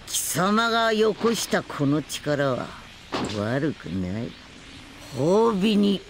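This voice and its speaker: A man's voice: on the high side, slight background noise, full character voice. A man voicing an arrogant, cold god villain who scorns humans, in pompous, formal speech for an anime game. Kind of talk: Japanese anime game voice acting. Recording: loud dramatic music behind the voice.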